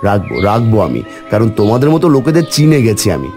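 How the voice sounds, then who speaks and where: A man's voice: fairly low pitch, sing-song, quick pace, darkish tone, faint music behind. A man voicing a poor, honest, devout village farmer in a cartoon, speaking earnestly and emphatically. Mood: defiant, indignant. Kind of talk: cartoon voice acting.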